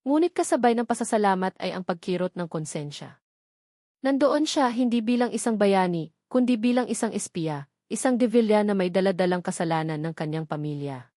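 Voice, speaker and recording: A woman's voice: mid-range voice, lively intonation, a little fast, dark tone, no room sound, studio quality. A woman narrator reading a scripted romantic drama in storytelling style.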